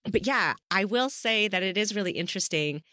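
A woman's voice: medium pitch, animated, fairly quick, bright, forceful tone, dry recording, clean studio sound. A woman hosting a podcast interview in a casual, chatty way, curious and encouraging toward her guest. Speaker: podcast host.

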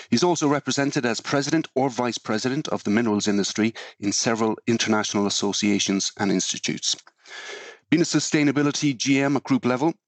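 Male podcast host, formal, measured, polite, dry close sound. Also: lowish voice, no echo. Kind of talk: scripted guest introduction and interview questions.

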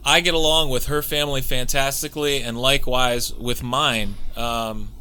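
Young man, podcast co-host, laid-back and jokey, very bright tone, casual chat. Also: mid-range voice, steady tone, normal speed, smooth, poor audio.

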